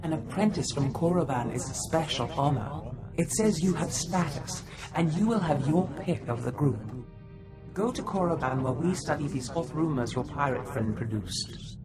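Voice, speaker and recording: Calculating advisor to a dark lord acted, formal and measured, mid-pitched, with music clearly behind, for a game. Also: animated, natural voice. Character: scheming, counselling Sith mentor. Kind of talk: video game cutscene acting.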